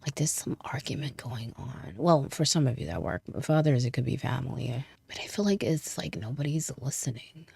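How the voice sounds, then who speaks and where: A woman's voice: extremely low pitch, animated, fairly quick, gravelly, hardly any pauses, mellow, slightly rough. A young woman giving a casual tarot reading, stuffy from a cold, chatty and laughing often. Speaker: tarot reader.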